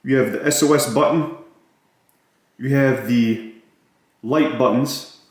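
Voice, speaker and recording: A man's voice: mid-range voice, fairly even intonation, takes it slowly. Man in a very echoey room. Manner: plain, matter-of-fact, listing features. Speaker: product reviewer. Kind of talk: casual hands-on gadget walkthrough. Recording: very echoey, roomy sound.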